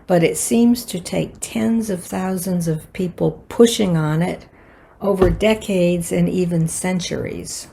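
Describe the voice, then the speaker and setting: An older American woman reading a prepared personal reflection for a worship service in a measured, earnest manner. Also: on the low side, gravelly, dark tone, a little hiss or hum. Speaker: worship associate.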